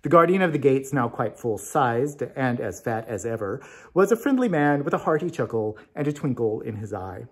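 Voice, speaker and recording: A man reading a storybook tale aloud as narrator, in an expressive scripted style. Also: medium pitch, moderate pace, husky. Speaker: story reader.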